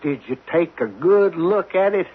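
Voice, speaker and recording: High, husky, frightened rig worker. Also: male voice, lively intonation, normal speed. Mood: fearful, pleading. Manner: anguished, pleading. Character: frightened, fatalistic rig worker refusing to know his fate. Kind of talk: old radio drama acting. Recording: muffled, narrowband old radio recording.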